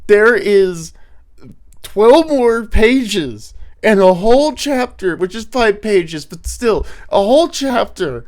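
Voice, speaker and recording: A man's voice: high voice, animated, slow, a little mellow. A man with a slightly congested voice giving a theatrical reading of his own comic prose, prone to breaking up. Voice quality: congested sinuses. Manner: theatrical, often breaking into stifled laughter. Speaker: author reading own writing. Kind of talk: dramatic reading of comedic prose.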